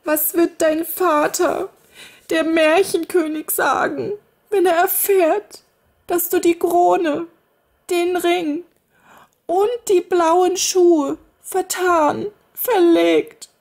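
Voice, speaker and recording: A woman voicing a fretful old nursemaid who cries out in high, drawn-out laments. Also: steady tone, darkish tone, heavily acted. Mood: wailing.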